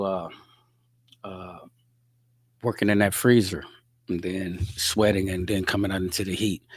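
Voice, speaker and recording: A middle-aged man hosting his podcast alone, hoarse and relaxed, chatting to viewers and answering their comments. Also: fairly low pitch, no echo. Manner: laid-back, talks straight to viewers, reads out comments. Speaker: podcast host. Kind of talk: casual solo livestream chat.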